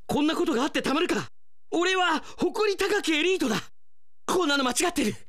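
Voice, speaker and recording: A man's voice: very high voice, animated, brisk, a bit bright, pitched above the performer's own voice. A man acting in a radio drama as a proud, naive, hot-headed alien investigator, speaking in an earnest, melodramatic way. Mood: furious.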